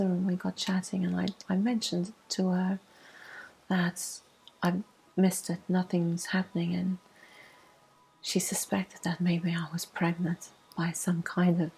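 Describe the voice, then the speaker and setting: A woman telling a personal story in a soft-spoken ASMR style, hushed and unhurried, with a breathy, husky voice that often drops into a whisper. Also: fairly low pitch, completely flat delivery, poor audio.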